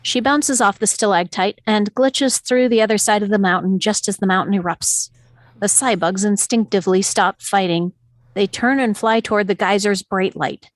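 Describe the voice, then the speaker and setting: A woman narrator reads out scene directions from a script. Her voice sits at a middle pitch, dramatically engaged, and the sound is dry with no room around it. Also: steady tone, moderate pace, dry recording. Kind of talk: scripted narration at a table read.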